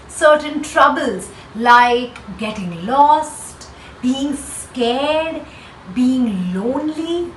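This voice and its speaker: A woman's voice: high voice, bright, forceful tone. A woman with an Indian accent speaking as a schoolteacher to children, explaining instructively with emphatic rhetorical questions. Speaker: schoolteacher hosting a read-aloud for children. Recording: roomy echo, distant mic, faint noise.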